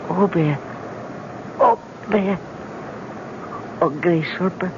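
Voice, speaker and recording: Dying man, painfully slow, high-voiced. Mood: weak, fading. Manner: halting, faint, labored fragments. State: gasping, barely alive. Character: dying young king, gasping last instructions, fading. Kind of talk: old-time radio drama acting. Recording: muffled, very noisy old radio recording.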